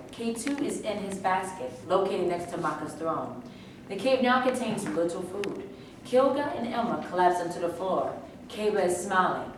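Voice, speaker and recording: A woman reading the stage directions aloud in a plain, matter-of-fact way. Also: medium pitch. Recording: noisy echoey hall from far off.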